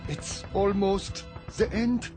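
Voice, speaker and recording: A wartime German officer, exhausted and full of doom, speaks in a high, urgent voice. It is radio drama with faint music behind. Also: male voice, steady tone, slow pace. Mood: despairing. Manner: grave, doom-laden, urgent.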